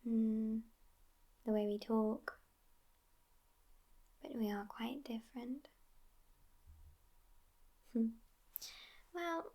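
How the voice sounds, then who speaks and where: Slow, whispering vampire girlfriend, acted by a woman. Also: fairly high pitch. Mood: teasing, secretive, then wry, amused. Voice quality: breathy whisper. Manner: unhurried, soft, intimate whispering. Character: sassy, outspoken immortal vampire girlfriend, world-weary and teasing. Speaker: ASMR roleplay performer. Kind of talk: whispered ASMR roleplay.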